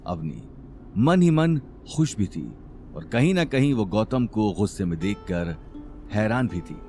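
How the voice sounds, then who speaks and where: A man's voice: lowish voice, expressive, unhurried, darkish tone, soft background music. A man narrating a scripted audio drama in a dramatic storytelling style.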